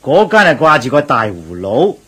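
Agreeable monk companion, mid-pitched and husky, chatty, Cantonese radio drama. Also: male voice, sing-song, normal speed, a bit bright. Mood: cheerful, observant. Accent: Cantonese, Hong Kong.

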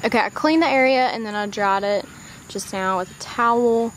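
A woman's voice: on the high side, normal speed, slight background noise. A woman, chatting casually on a handheld camera at an outdoor horse barn, full of "um" and "like". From Southern US. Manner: chatty, filler-heavy, baby talk to her horse. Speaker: vlogger. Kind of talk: casual vlog narration.

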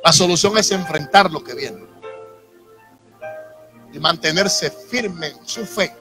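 A man's voice: a little high, takes it slowly. A man in his thirties with a Dominican accent, preaching a sermon to a congregation on a handheld mic. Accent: Dominican Spanish. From Dominican Republic. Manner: preaching with rising emphasis and audience asides. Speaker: pastor. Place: church sanctuary with congregation. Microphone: handheld microphone. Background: soft instrumental music.

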